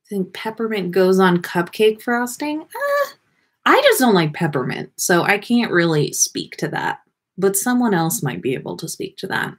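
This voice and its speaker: A woman's voice: medium pitch, big pitch swings, moderate pace, breathy edge. A woman hosting a casual livestream, chatting with viewers in a playful, rambling way as she thinks aloud. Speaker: livestream podcast host. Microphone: webcam livestream setup.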